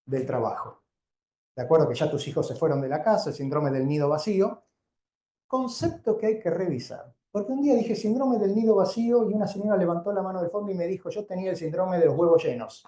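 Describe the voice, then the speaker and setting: Higher-voiced Argentine man lecturing in echoey room. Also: dark tone. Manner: conversational, didactic, frequent check-in questions. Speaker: doctor giving a keynote lecture. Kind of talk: informal public lecture to a live audience. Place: indoor lecture venue before an audience. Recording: roomy, echoing live lecture sound.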